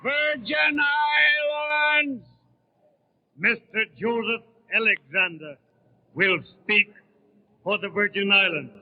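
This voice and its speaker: A man formally announcing speakers as a convention official, in drawn-out proclamations on a 1930s radio broadcast. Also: high-pitched. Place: large convention hall. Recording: tinny 1930s radio, echoey hall.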